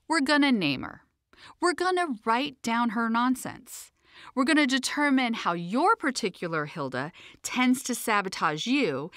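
Animated, high-pitched woman reading an audiobook. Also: no room sound, clean studio sound. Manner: sassy, emphatic, conversational. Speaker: author and coach reading own audiobook. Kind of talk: scripted audiobook narration.

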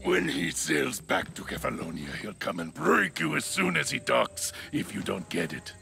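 An actor playing a middle-aged debt enforcer in a video game, gravelly, blunt and threatening. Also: male voice, medium pitch, big pitch swings, normal speed, pushed and bright, heavily acted. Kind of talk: video game cutscene acting.

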